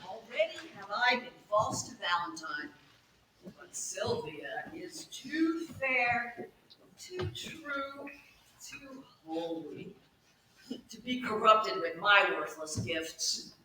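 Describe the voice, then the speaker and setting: An amateur actor playing a false-hearted, scheming young gentleman lover in a staged Shakespeare reading, declaiming measured verse. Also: mid-range voice, sing-song. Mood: conflicted, brooding. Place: live stage reading in a roomy space. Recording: echoey room, distant mic, faint noise.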